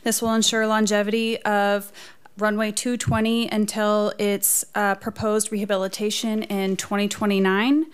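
A woman with a clear, smooth voice presents a staff report at a council meeting. Her delivery is measured and businesslike, with an even, flat intonation. She speaks into a podium microphone. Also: medium pitch. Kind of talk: formal prepared report. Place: council chamber, indoors.

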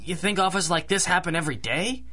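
An actor playing a gruff, blunt working-class cabbie in a 1930s radio drama, talking in street slang. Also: male voice, high-pitched, animated, fast, pushed and bright, exaggerated voice. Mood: exasperated.

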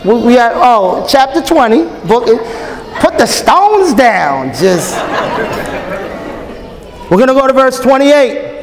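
Man preaching, very high voice, lively swooping pitch, long hall reverb, faint crowd. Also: takes it slowly, pauses often. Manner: animated, joking, call-and-response with the crowd. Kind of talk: lively conference sermon. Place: large hotel ballroom with a live audience.

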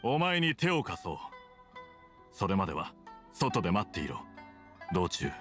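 An actor playing a stern, conflicted soldier with hidden kindness, speaking in a measured, blunt way in a video game dub. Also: male voice, sits low, huge pitch swings, fairly bright tone, faint music behind, exaggerated voice. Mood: firm, resolute. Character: stern, conflicted male soldier, weary but secretly kind. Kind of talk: Japanese game dub acting.

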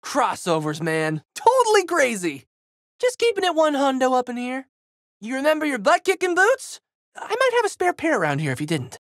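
A goofy teen boy sword hero for a video game, super animated, dude slang, dry close recording. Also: very high voice, normal speed, dry recording, heavily acted. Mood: upbeat, chatty. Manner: exuberant, slangy surfer-dude boyishness. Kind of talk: video game character voice lines.

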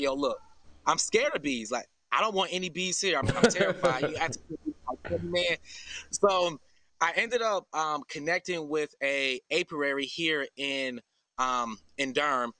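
A man's voice: fairly high pitch, sharp tone, dry recording, low-quality recording. An American man in his thirties giving an earnest, informal explanation in a live interview over a video call. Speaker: farm founder and interview guest. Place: outdoors under an open farm shelter.

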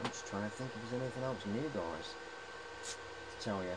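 A man in his forties with a fairly low voice gives a casual, rambling show-and-tell. He is matey and hesitates often as he goes. It is recorded on a handheld camera indoors, with some noise behind him. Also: normal speed. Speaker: hobbyist showing high-voltage equipment. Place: cluttered indoor workshop. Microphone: camera at arm's length.

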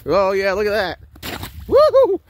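Man, fairly high voice, casual joking chat, outdoors on a frozen lake, phone mic, faint outdoor noise. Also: huge pitch swings, unhurried. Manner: joking, laughs often, teases friends. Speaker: vlogger filming an ice fishing outing. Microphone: handheld phone camera.

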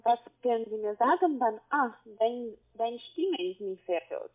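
A woman with a foreign accent speaks over a narrow, tinny phone line. She is a call-centre caller, insistent in manner, piecing sentences together in halting non-native phrasing. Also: a little high, lively intonation. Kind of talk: phone conversation.

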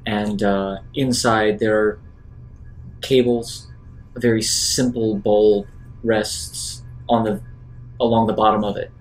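A man at a tabletop game improvises a scene in a mid-pitched voice. His delivery is very slow, with music behind him. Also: flat delivery, poor audio.

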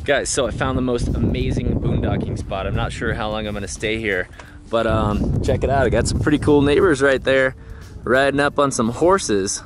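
A man's voice: mid-range voice, moderate pace, loud music behind the voice. A man in his thirties chatting casually on a road-trip vlog, upbeat and thinking aloud with lots of fillers, filmed on a camera held at arm's length in a van and outdoors. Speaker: vlogger. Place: inside a van and outdoors at a roadside overlook. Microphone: handheld camera at arm's length.

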